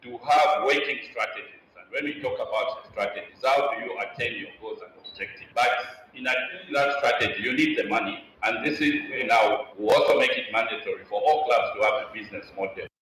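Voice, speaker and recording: A man's voice: medium pitch, brisk, few pauses, a bit bright. A man with a Zambian accent giving a formal address as a league executive. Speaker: football league chief executive. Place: echoey room at a signing event. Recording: very echoey, distant, faintly noisy event recording.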